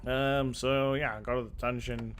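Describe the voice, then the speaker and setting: A man playing a clueless young recruit in a fantasy game, halting and dopey as he asks questions. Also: mid-range voice, flat delivery, slight background noise, lightly acted. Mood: baffled. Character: clueless, hesitant young recruit on first day.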